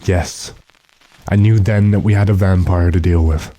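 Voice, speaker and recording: A man playing a calm, commanding investigator hunting a vampire in a radio horror drama, speaking deliberately and with authority. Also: low voice, heavy background noise, lightly acted. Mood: grim, resolute. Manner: authoritative, deliberate, gives orders.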